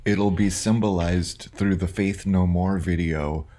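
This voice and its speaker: A man's voice: low-pitched, steady tone, a little slow, dark tone. A man making loose, casual comments, stumbling and repeating words as he talks. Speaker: reaction host.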